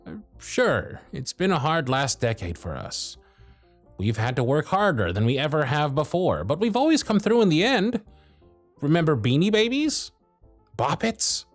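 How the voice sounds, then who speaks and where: A man's voice: a little high, sing-song, moderate pace, dry recording, faint music behind. A man voicing a harried, grumpy male elf supervisor in an audio roleplay, sarcastic and scolding. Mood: pleading. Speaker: workshop supervisor.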